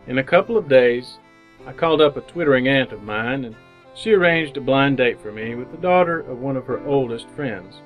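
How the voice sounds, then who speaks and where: A man's voice: medium pitch, animated, brisk, narrow, tinny audio, soft background music, natural voice. An actor playing an earnest, jaded young ad man who narrates his misadventures in old-time radio drama style. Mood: wry, brooding.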